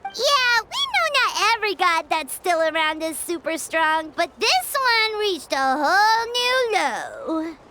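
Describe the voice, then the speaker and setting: A woman's voice: very high voice, big pitch swings, measured pace, noisy background, heavily acted. A woman voicing a tiny, childlike, cheeky and blunt fairy girl in a video game. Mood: sassy. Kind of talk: animated video game acting. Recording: loud game music behind.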